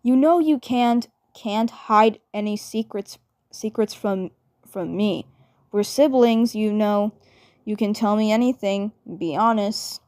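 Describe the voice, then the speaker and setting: A woman voicing a teasing, laid-back teenage brother in a fan dub, halting and repeating phrases. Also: mid-range voice, a little mellow, natural voice. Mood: coaxing.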